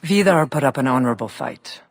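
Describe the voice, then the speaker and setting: An actor voicing a proud, defiant giant lord in a video game, with a theatrical, measured and scornful delivery. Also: male voice, medium pitch, lively intonation. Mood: haughty. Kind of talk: dramatic video game voice acting. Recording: clear background noise.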